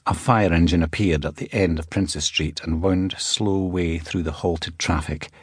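A man reading a thriller as scripted narration, in a measured, dramatic storytelling way. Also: deep voice, steady tone, breathy edge, no room sound, clean studio sound. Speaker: audiobook narrator.

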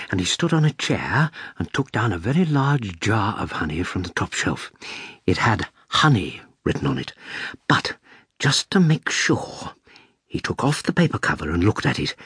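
A male storybook narrator with a very breathy, husky tone. His low voice rises and falls widely as he tells the tale in a measured, expressive way, in a dry studio sound. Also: no echo. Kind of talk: scripted children's story narration.

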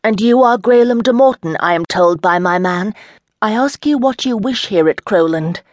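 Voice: mid-range voice, lively intonation, normal speed, dry recording, voice pushed up, lightly acted. A reader voicing a proud young noblewoman who answers with scorn and defiance. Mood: defiant. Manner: scornful, raised and forceful.

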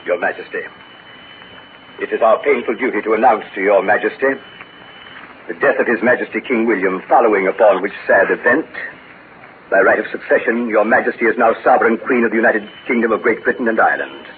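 An actor playing a brusque, dryly witty English court lord in 1930s radio drama style, pompous and emphatic. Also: male voice, lowish voice, fairly quick, lightly acted. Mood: formal, firm. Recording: scratchy, tinny 1930s radio recording with hiss.

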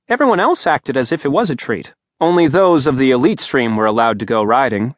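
A man's voice: mid-range voice, no room sound. A man reading an audiobook aloud in a dry, wry storytelling manner at a studio microphone. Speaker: audiobook narrator. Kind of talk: scripted narration.

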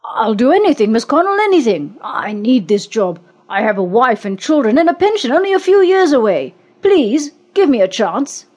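A woman's voice: fairly high pitch, huge pitch swings, slow, few pauses, darkish tone, heavily acted. A woman voicing a frightened middle-aged male executive who pleads desperately. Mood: begging.

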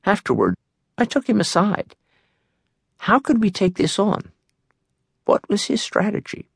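A man reads a nonfiction memoir as an audiobook narrator, measured and articulate, in a studio. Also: sits high, huge pitch swings, gravelly, slightly rough, no echo.

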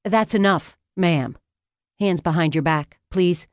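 A woman's voice: lowish voice, takes it slowly, no echo, clean studio sound. A woman voicing a stern, businesslike police officer with a firm official manner in an audiobook story.

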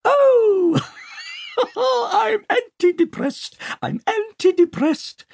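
A man's voice: very high voice, expressive, drawn-out words, vocal fry, darkish tone, no echo, clean studio sound, pitched above the performer's own voice, heavily acted. A man voicing a manic, depressive male comedian in an audiobook, with theatrical, tremulous flourishes. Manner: thespian, flamboyant, tremulous flourishes. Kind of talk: audiobook character acting.

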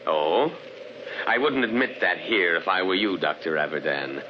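An actor playing a pompous, mocking imperial governor and soldier, in 1950s radio acting with a dull recording. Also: male voice, deep voice, moderate pace, a little hiss or hum, lightly acted. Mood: scornful. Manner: pompous, mocking, laughs at others.

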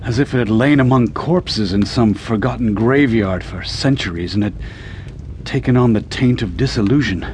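An actor playing an educated, uneasy assistant in a radio drama, with formal, literate speech that turns hesitant. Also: male voice, lowish voice, normal speed, darkish tone, slight background noise, natural voice. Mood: uneasy, halting. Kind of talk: radio drama acting and first-person narration.

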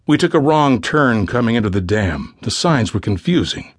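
A man's voice: medium pitch, big pitch swings, normal speed, no echo, clean studio sound. A man voicing an anxious father who tries to stay courteous and firm, in an audiobook thriller. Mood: nervous, apologetic. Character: anxious father pleading for daughters, trying to sound firm.

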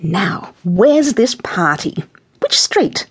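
Storybook busybody, older woman played by a female reader: fussy, insistent, gushing, highly animated intonation. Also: measured pace, breathy edge. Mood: bright, nosy. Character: fussy, gossipy older woman neighbour, sugary and sharp. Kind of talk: children's audiobook character voice.

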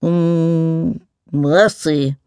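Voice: mid-range voice, steady tone, measured pace, dry recording, close to an everyday voice. A storyteller of unclear sex voicing a grumpy, fussy, near-sighted giant mole that mumbles and repeats itself in a pompous, liquid mutter. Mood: flustered, dismissive. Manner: repetitive, indignant muttering to itself.

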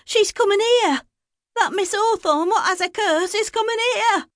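A woman voicing a frightened young village boy who shouts in panicky alarm. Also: very high-pitched, moderate pace, dry recording, clean studio sound, pitched above the performer's own voice. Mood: panicked.

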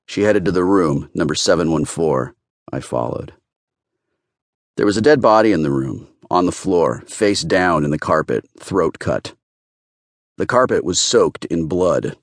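A man's voice: deep voice, animated, a little slow, no room sound, clean studio sound. A man narrating first-person fiction as a scripted audiobook reader.

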